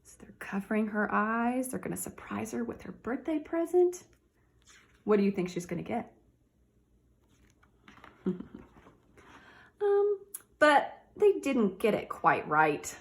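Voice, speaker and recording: A woman teacher doing a read-aloud for children, talking directly to her young listeners in an animated way. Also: a little high, takes it slowly, vocal fry, pauses often.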